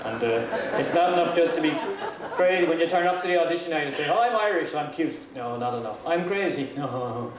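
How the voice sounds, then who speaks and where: A man telling a comic anecdote in an animated way, acting out the dialogue. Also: a little high, fairly quick, over a noisy crowd. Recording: very echoey, distant, heavy noise.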